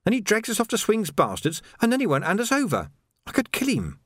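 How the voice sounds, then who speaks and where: A man's voice: mid-range voice, huge pitch swings, moderate pace, dry recording, clean studio sound, pitched above the performer's own voice. A man voicing a snappish landlady. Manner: snappish, exasperated. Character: sharp-tongued woman landlady.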